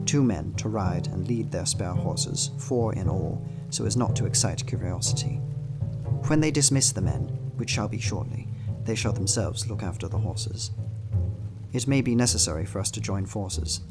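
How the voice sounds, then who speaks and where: Low, grave Victorian husband, played by a man. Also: lightly acted. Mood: businesslike. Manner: grave, brooding, formal old-fashioned phrasing. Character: Jonathan Harker, anxious, determined young Victorian husband writing his journal. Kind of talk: dramatized diary narration in a radio play. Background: plucked guitar music.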